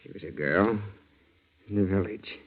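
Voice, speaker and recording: Casting: unhinged lonely young man, low husky voice, dreamily menacing, old radio thriller sound. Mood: brooding menace. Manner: slow, halting, dreamy delivery. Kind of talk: 1940s radio thriller acting. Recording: tinny, muffled 1940s radio recording.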